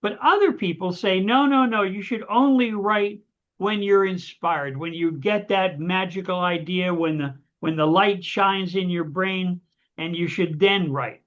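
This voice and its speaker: A man's voice: high-pitched, a little slow, fairly bright tone. A man hosting an interview, asking long friendly questions that ramble and restart. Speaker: interview host. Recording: dull lo-fi audio.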